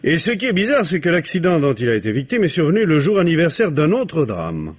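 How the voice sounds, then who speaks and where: Coaxing newsman, lively voice, dull lo-fi old radio sound. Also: fairly high pitch, fast talker, breathy edge, exaggerated voice. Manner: chatty, coaxing. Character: friendly, nosy male reporter. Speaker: journalist. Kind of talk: 1950s radio drama acting.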